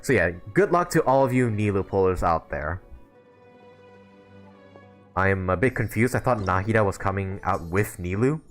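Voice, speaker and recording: A man's voice: on the low side, lively intonation, moderate pace, pauses often, faint music behind. A young man giving casual live stream commentary on a game, informal and thinking aloud as he plays.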